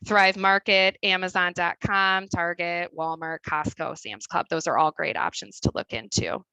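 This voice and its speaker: A woman with a Midwestern accent walks through her slides as a dietitian, chatty and full of fillers. Her voice is bright and crisp, sitting a little low, and the sound is dry and close. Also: steady tone, normal speed, no room sound, poor audio. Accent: Midwestern American. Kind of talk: webinar presentation. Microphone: video call.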